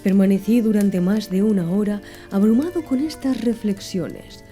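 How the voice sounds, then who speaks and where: Hushed asmr audiobook lady, super mellow dark voice, slow and soft, quiet music under. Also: mid-range voice. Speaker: audiobook narrator. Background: faint background music.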